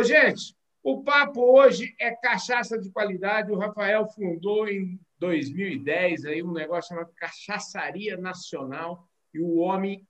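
A man hosts a podcast with an exuberant, folksy energy. His voice is bright and forceful, and he talks straight to his listeners between questions to his guest. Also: sits high. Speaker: podcast host. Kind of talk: lively podcast interview.

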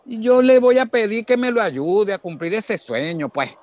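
An actor playing a burly, kindly older boss in a radio drama, frank and colloquial. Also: male voice, high voice, big pitch swings, normal speed. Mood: kindly, earnest. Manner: colloquial, folksy.

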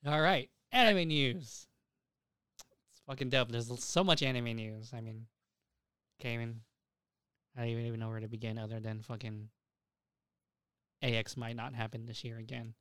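Clear-voiced young man, casual podcaster. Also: mid-range voice, fairly even intonation, normal speed, long pauses, a bit bright, no room sound, studio quality. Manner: slangy, frequent swearing; enthusiastic, opinionated. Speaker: podcast host.

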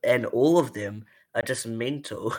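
A man with an accent others mistake for British, co-hosting a casual podcast in an excitable, rambling way. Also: medium pitch, a little slow. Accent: non-American accent others take for British. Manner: chatty, excitable, lots of "like" and "bro". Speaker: podcast co-host.

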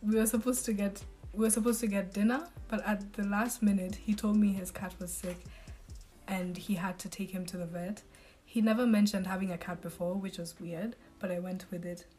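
A woman's voice: medium pitch, steady tone, normal speed, dark tone, faint music behind. Young woman reading a story casually. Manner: casual, storytelling, sympathetic asides. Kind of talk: reading a story aloud on a podcast.

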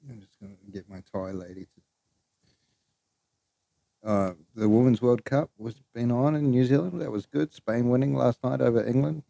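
A man's voice: medium pitch, normal speed, creaky, mellow, dry recording. A man hosting his own podcast alone, rambling loosely between clips, swearing and trailing off. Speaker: podcast host. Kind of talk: casual solo podcast chat.

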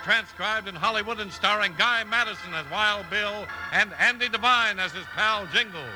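A man's voice: sits high, normal speed, rough recording. An American man announcing a 1950s radio show from a script, with emphatic, dramatic delivery. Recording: old radio broadcast over music. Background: music.

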